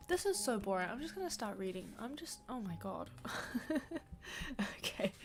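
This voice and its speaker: A woman's voice: mid-range voice, very animated, moderate pace. A young woman with a New Zealand accent, sniffly, chatting casually and self-deprecatingly while reading a book aloud on a livestream at home.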